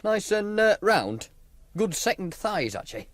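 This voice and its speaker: High-pitched, lively young Northern English man. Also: unhurried, exaggerated voice. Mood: wry banter. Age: young adult. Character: Mark, cocky young student with a betting knack. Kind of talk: naturalistic radio drama dialogue.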